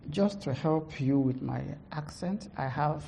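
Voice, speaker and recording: A middle-aged woman pastor with a heavy Nigerian accent gives a conference testimony, halting, with many fillers, laughing at herself. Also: low-pitched, vocal fry, dark tone, a little hiss or hum.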